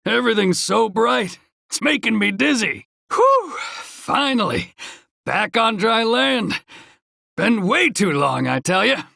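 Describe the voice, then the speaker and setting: Very gravelly, high-voiced male labourer. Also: big pitch swings, slow, pushed and bright, no echo, clean studio sound, exaggerated voice. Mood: dazed relief. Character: proud, weary male undersea labourer, plain-spoken and hearty.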